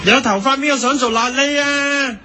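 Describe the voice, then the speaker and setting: Over a music bed, a baffled game show contestant whose voice is extremely high and sharp. He argues in indignant, literal-minded Hong Kong Cantonese, in the manner of parody radio comedy. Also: steady tone, normal speed. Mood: puzzled, protesting. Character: baffled, argumentative male quiz show contestant.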